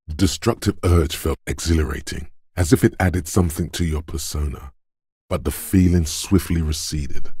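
An actor voicing a gruff, domineering inner voice of brute strength in a video game, husky and rumbling, with scornful, deliberate drill-sergeant delivery. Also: male voice, a little mellow, no echo, studio quality, full character voice. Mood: grave, measured. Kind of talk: video game character narration.

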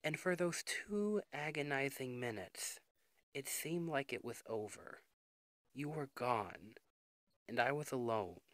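A man acts out a devoted young boyfriend, full of worry, in an intimate ASMR roleplay. His delivery is slow and halting, with earnest emotion and very lively pitch movement. The sound is dry and close, without room echo. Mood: anguished, worried.

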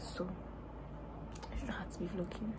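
A woman in her twenties with a Nigerian accent, chatting casually into a phone held at arm's length in her bedroom, animated and self-mocking, sounding sleepy and tired. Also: on the low side, moderate pace, whispered. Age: 20s. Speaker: vlogger. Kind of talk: casual selfie vlog chat. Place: small bedroom indoors.

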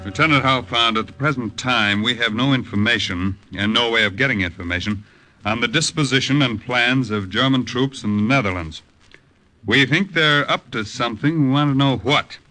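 An actor playing an authoritative military intelligence officer, formal and deliberate, in 1950s radio drama style. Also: male voice, mid-range voice, bright, forceful tone, close to an everyday voice, poor audio. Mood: serious, official. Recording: old 1950 radio recording, music behind.

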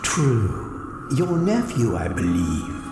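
A solemn ghost guide in a radio play, slow but swoopy delivery, music under it. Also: male voice, on the low side, sing-song, creaky, heavily acted. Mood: solemn, knowing. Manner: solemn, deliberate, questioning. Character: solemn, probing ghostly spirit guiding an old miser. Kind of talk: audio drama acting. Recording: echoey voice over music.

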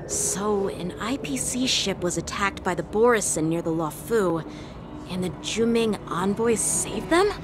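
A woman's voice: medium pitch, unhurried, over music, natural voice. A woman playing an earnest, dutiful young boy lieutenant in a video game, polite and composed. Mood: stern, questioning.

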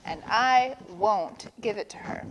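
Vain, grumbling male vulture for a children's storybook, read by a woman: stubborn, animated pitch, long reverb. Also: a little slow, a little hiss or hum. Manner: grumbling, sneering, yelling.

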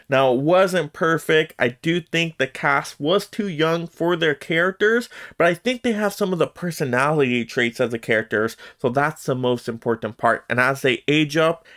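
A man's voice: fairly high pitch, moderate pace, few pauses, fairly bright tone. A man in his thirties hosting an enthusiastic, emphatic countdown movie review to camera in a room at home. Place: small room at home lined with shelves of collectibles, indoors.

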